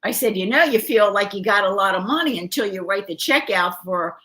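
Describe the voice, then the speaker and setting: An older woman with a bright, ringing voice chats easily on a live show. She talks like a grandmother sharing stories, laughing often and reading comments from viewers. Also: mid-range voice, animated, brisk. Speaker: co-host, grandmother. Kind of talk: casual chat on a live show.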